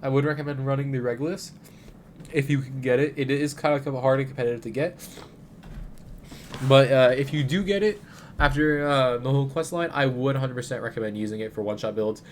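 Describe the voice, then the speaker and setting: A man's voice: mid-range voice, brisk, slight background noise. Stuffy-nosed man rambling casually. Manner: rambling, many fillers and restarts. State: stuffy nose from a cold. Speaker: gaming video creator. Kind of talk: casual game guide commentary.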